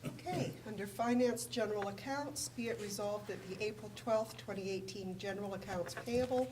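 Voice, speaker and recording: A woman administrator reading formal council resolutions and figures aloud in a measured way in a council chamber. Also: mid-range voice. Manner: measured, reads figures carefully. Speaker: municipal administrator. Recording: distant mic with room noise.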